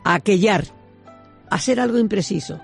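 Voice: mid-range voice, normal speed, gravelly, soft background music. A speaker explaining regional words in casual radio chat, emphatic and often repeating words. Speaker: radio word-segment contributor.

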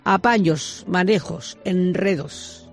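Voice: mid-range voice, slow, soft background music. A speaker explaining regional words in casual radio chat, emphatic and often repeating words. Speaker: radio word-segment contributor.

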